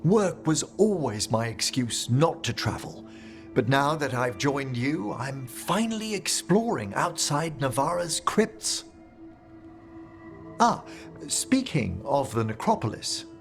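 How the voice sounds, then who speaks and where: An actor playing a courteous, eloquent and eccentric necromancer scholar in a fantasy game. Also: male voice, mid-range voice, big pitch swings, slow, gravelly, faint music behind, heavily acted. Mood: genial, eager. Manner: refined, effusive, eloquent. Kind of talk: game companion dialogue acting.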